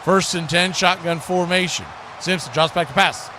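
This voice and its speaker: Over clearly noisy live-stream audio, an American man calls a football game as host and play-by-play commentator. His voice sits a little high for a man. He talks in a loose, folksy, conversational style, without a script. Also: moderate pace. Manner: conversational, folksy, rises to excited shouts on big plays.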